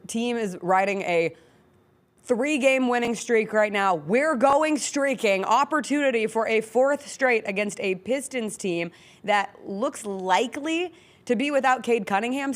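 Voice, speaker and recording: A woman with an American accent hosts a sports talk show from a studio. Her pitch sits in the middle range for a woman. She talks casually and conversationally about games and players. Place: radio-style studio.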